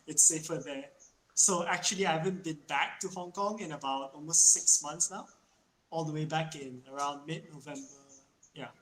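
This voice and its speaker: A man's voice: a little high, moderate pace, fairly bright tone. A young man from Malaysia, a university student, talking casually as a guest on a video call with lots of fillers. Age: young adult. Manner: hesitant, filler-heavy. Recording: echoey room, faint noise.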